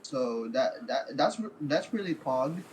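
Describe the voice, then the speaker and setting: A man playing a tabletop game, excitable and full of gamer slang while recapping the last session. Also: mid-range voice, a little slow, a little hiss or hum, rough recording. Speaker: player.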